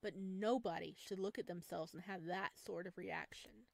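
A woman's voice: fairly low pitch, normal speed, creaky, dry recording. A woman reading visual novel narration aloud expressively, as a gaming video host.

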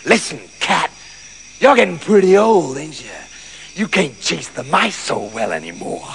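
A man's voice: fairly high pitch, wildly swooping pitch, unhurried, gravelly, a bit bright, pitched below the performer's own voice, exaggerated voice. A man voicing a horrible, gloating farmer in a children's story, taunting and menacing. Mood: threatening.

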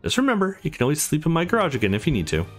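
A man's voice: fairly high pitch, wildly swooping pitch, very fast, pitched above the performer's own voice. A man voicing a teasing, kind-hearted girl in a visual novel, over music. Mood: playful, sympathetic. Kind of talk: visual novel character read aloud.

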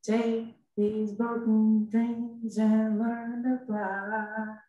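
A woman's voice: on the high side, flat delivery, extremely slow, echoey room, phone-quality sound, soft background music. A nineteen-year-old woman from Texas performing spoken word poetry, standing back from a webcam in a living room. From Houston, Texas, US. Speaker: spoken word poet, university student. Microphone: webcam a few steps away.

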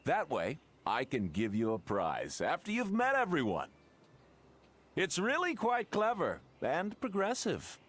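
A man's voice: fairly high pitch, wildly swooping pitch, unhurried, fairly bright tone, exaggerated voice. A man voicing an eager, pompous small-town mayor in a video game, effusive and self-important. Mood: effusive, self-satisfied. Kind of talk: video game character acting.

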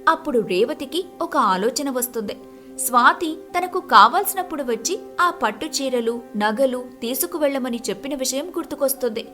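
High-pitched woman narrating a moral story. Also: animated, normal speed, pushed and bright, faint music behind.

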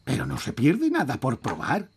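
A man's voice: mid-range voice, huge pitch swings, moderate pace, vocal fry, darkish tone, breathy edge, full character voice. A man with a Castilian Spanish accent voicing a fretful, politely grumbling middle-aged fairy king in a cartoon dub. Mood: polite, reluctant.

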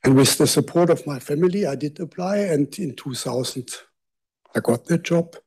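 A man's voice: fairly high pitch, normal speed, darkish tone, slightly rough. An older man with a German accent, giving a public lecture from a lectern microphone in a university lecture hall, speaking conversationally with self-deprecating asides. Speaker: guest lecturer.

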